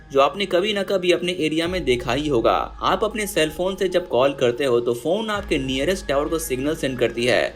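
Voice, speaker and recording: A man with an Indian accent narrating a scripted explainer, addressing viewers as friends and mixing in English terms. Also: on the high side. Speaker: explainer video host.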